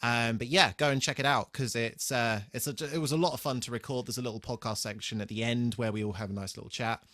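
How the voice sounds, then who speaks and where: Halting man hosting a casual podcast, dry sound. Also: mid-range voice, fairly even intonation, pushed and bright, no room sound. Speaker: podcast host. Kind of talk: casual banter between co-hosts.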